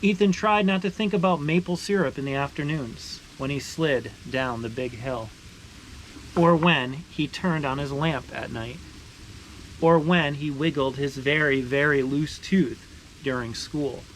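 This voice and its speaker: A man reading a picture book aloud to children with expressive, deliberate phrasing, stressing words as he narrates. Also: fairly high pitch, low-quality recording. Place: beside a boiling maple sap evaporator. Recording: steady ambient background noise.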